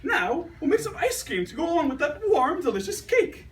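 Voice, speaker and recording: A woman's voice: high-pitched, big pitch swings, a little fast. Woman as swooping, genteel 1920s cooking hostess. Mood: cheerful, instructing. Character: genteel, cheerful 1920s housewife hostess of a radio cooking program. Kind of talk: amateur 1920s radio cooking show. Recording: slightly noisy, distant amateur recording.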